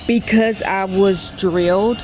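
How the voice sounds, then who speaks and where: A woman's voice: medium pitch, fairly even intonation, slow, a little mellow, soft background music. A woman in her late thirties telling a personal story in a casual vlog, rambling and often stopping to correct herself. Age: 30s. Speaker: vlogger.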